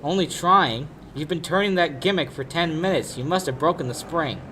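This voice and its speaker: A young actor playing a sardonic, pushy lawyer in a 1940s-style radio detective play. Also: male voice, a little high, normal speed, background noise, lightly acted. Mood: impatient. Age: young adult. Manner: wisecracking, hard-boiled. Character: sardonic, pushy male lawyer turned investigator. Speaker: high school actor.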